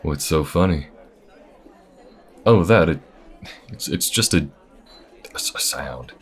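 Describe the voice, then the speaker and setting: A man voicing an easygoing, wry alien bounty hunter with folksy, unhurried phrasing in an audio roleplay. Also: extremely low pitch, lively intonation, breathy edge, heavily acted. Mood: flustered, teasing. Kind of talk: audio roleplay addressed to the listener. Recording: restaurant chatter behind. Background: restaurant crowd ambience.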